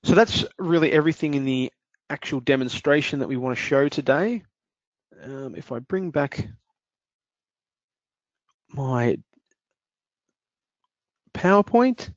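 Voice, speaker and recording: A man walking through a software demonstration in a webinar, explaining steps with frequent fillers. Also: medium pitch, lively intonation, slow pace, long pauses, dry recording, dull audio. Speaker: software demo presenter.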